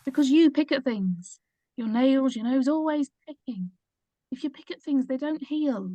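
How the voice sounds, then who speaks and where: A woman's voice: high voice, animated, normal speed, warm tone, dry recording, highs cut off. A woman playing an uncomfortable, nagging mother of about sixty in a script read, fussy and chiding.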